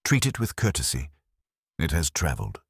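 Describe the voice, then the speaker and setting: A man voicing a mild, unhurried police inspector with a quiet, measured, dryly witty delivery. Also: very deep voice, wildly swooping pitch, breathy edge, no room sound, studio quality, full character voice.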